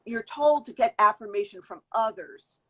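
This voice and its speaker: A woman in her fifties answers as an interview guest, thinking aloud in long, flowing sentences. She circles back and restarts often. Her voice sits in an ordinary middle pitch. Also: animated, moderate pace, pushed and bright, breathy edge. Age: 50s. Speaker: guest, beauty industry worker.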